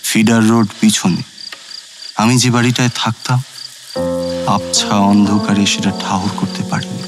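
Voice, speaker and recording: A man's voice: lowish voice, fairly even intonation, a little slow, music in the background, close to an everyday voice. A male actor playing a former judicial officer who narrates his night journey as a scripted, literary first-person audio story. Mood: reflective.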